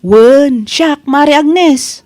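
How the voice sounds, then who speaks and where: A woman playing a composed, playfully secretive village woman in a comedy radio drama, with a Filipino accent and a teasing, unhurried manner. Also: high voice, warm tone, no echo.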